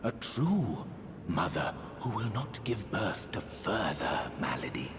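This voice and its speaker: A slow, swooping old count from a fantasy game. He is courtly, serene and unsettling, savouring each ornate phrase. His deep voice echoes over music. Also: sing-song, heavily acted. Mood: ominous, coaxing. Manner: theatrical, genteel, savouring words. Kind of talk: scripted video game drama, ornate formal speech.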